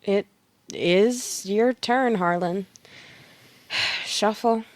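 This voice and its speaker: Woman acting as a knowing fortune teller, slow measured delivery, dry close sound, audio drama. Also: medium pitch, no room sound. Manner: measured, pronouncing readings slowly. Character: deliberate, knowing female tarot reader, Madame Zed.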